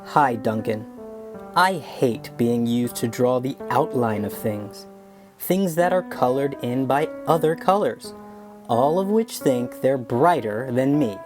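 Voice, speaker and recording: Woman as gloomy storybook crayon, resentful, very low, slow, guitar music behind. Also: lively intonation, exaggerated voice. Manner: gloomy grumbling. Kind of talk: storybook read-aloud.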